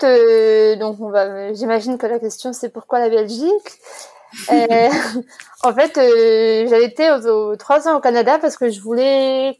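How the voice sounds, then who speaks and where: Woman, 20s, higher-pitched voice. Chatty interviewee explaining things in a relaxed, conversational way. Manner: chatty, explanatory, laughs easily. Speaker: interview guest. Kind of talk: casual personal interview.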